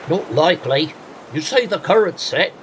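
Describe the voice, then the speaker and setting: An actor playing a talkative, superstitious coastal villager with rustic, deferential phrasing in an audio drama. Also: male voice, fairly high pitch, animated, moderate pace, slight background noise, close to an everyday voice. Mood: polite, curious.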